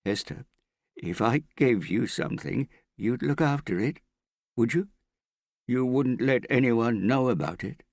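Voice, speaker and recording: A man's voice: medium pitch, lively intonation, measured pace, gravelly, dry recording, clean studio sound, voice pushed up. A man voicing a paranoid, frightened, hard-drinking man in hiding, agitated and pleading, in an audiobook. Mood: secretive, frightened. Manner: agitated, suspicious, pleading, prone to shouting. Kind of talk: audiobook character acting.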